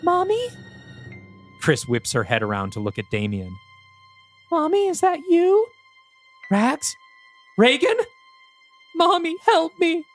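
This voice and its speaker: A man's voice: very high voice, huge pitch swings, takes it slowly, pauses often, faint music behind, pitched above the performer's own voice, exaggerated voice. A man doing a begging ghost child. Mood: pleading. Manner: whiny, pleading. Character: lost little girl ghost, begging.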